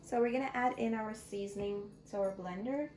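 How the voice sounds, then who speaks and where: A woman hosting a cooking channel, explaining each step in a slow, friendly way from her home kitchen. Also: a little high, noisy background. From Guyana. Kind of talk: casual cooking tutorial. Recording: echoey kitchen with clattering pots.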